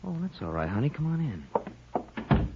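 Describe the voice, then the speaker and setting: Brusque American bandleader, swooping pitch. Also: male voice, big pitch swings, unhurried. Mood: casual. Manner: casual, slangy, blunt. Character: brusque male bandleader, protective then suspicious partner. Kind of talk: 1950s radio drama acting. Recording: noisy, muffled 1950s radio recording.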